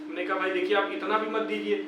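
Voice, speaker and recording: Young man, Uttar Pradesh accent, high-pitched, earnest and exhorting, informal appeal, distant mic, heavy room echo. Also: flat delivery, quick pace. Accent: North Indian, Uttar Pradesh. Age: young adult. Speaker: YouTuber addressing exam candidates. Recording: very echoey room, distant mic, faint noise.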